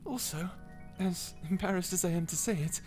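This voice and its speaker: A woman voicing a kind, dutiful guardian angel in a character audio roleplay, gentle, formal and measured. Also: on the low side, soft background music, lightly acted. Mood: tender, reassuring.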